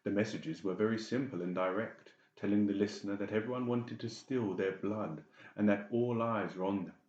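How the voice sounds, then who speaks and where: Male storyteller for dramatised audiobook, lowish pitch, roomy and slightly muffled capture. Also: normal speed, darkish tone. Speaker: audiobook narrator. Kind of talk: dramatic scripted narration. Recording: dull, echoey room recording.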